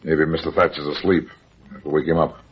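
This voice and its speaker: An actor playing a wisecracking, unflappable heavyset private detective in a vintage radio drama, wry and sardonic. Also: male voice, rumbling low, flat delivery, fast, a little hiss or hum. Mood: wry, calm. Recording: muffled vintage radio recording.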